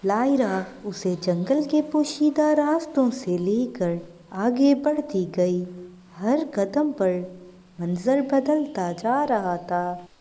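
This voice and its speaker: A woman's voice: on the high side, expressive, very slow, warm tone. A woman narrating a scripted fairy tale as a storyteller.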